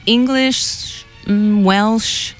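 A woman's voice: mid-range voice, steady tone, drawn-out words, faint music behind. Midwestern female guest musician, cheerful, casual, mid-range voice. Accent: Midwestern American. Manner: friendly, upbeat, laughs often. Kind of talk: casual radio interview.

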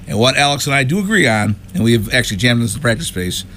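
A man in his sixties with a mid-pitched voice, talking casually as an interviewed musician. He rambles wryly, with noticeable room noise around him. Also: animated, rapid-fire, pushed and bright. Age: 60s. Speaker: band musician being interviewed. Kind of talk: casual chat, reminiscing.

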